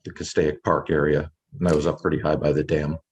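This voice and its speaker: A man calling in to a video meeting with a question, hesitant with many pauses. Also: very deep voice, level tone, darkish tone, breathy edge. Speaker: caller with a question. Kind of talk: casual talk on a video call.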